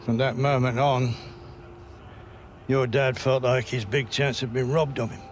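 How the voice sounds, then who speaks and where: A man's voice: mid-range voice, steady tone, measured pace, slight background noise, close to an everyday voice. A man playing a plain-spoken, caring older relative in a video game cutscene. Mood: somber, reminiscing. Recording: game dialogue over faint music.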